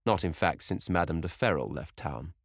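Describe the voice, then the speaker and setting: A man voicing a charming young gentleman who speaks softly and politely. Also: rumbling low, expressive, moderate pace, vocal fry, dry recording, studio quality, exaggerated voice.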